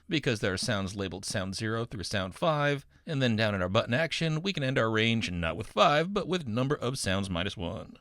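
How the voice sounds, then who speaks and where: A man's voice: medium pitch, dry recording, clean studio sound. A man narrating a coding tutorial as an instructor, in an upbeat, encouraging, instructional manner. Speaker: programming instructor, university professor.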